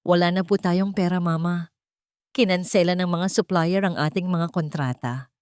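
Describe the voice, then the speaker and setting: A woman narrator plays a desperate daughter-in-law in a storybook drama. She pleads pitifully and helplessly, in a lowish female voice recorded dry. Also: steady tone, moderate pace, darkish tone, clean tone, no room sound, close to an everyday voice. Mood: pleading.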